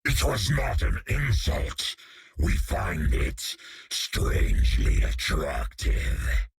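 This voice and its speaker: An actor playing a menacing alien symbiote that hungers for power and calls itself we, in a video game. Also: male voice, deep voice, big pitch swings, drawn-out words, background noise, heavily acted. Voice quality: gravelly, growling. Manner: speaks of itself as we.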